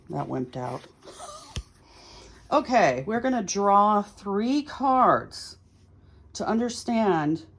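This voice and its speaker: Slow-talking woman reading tarot, lower voice. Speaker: tarot reader hosting a video series. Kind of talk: casual chatty card reading, thinking aloud to viewers.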